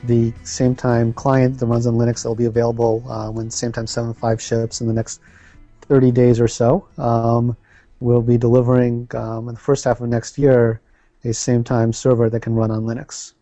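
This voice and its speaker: A man speaks as an interview guest in measured, businesslike answers dotted with ums. His voice is mellow and sits dry against the mic. Faint music runs underneath. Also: mid-range voice, monotone, dry recording. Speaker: interview guest, product spokesman.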